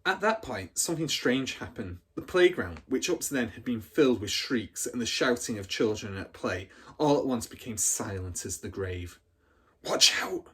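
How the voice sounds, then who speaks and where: A man's voice: medium pitch, animated, normal speed, hardly any pauses, slightly rough. A man reading a children's story aloud as a teacher would, with dramatic, emphatic expression.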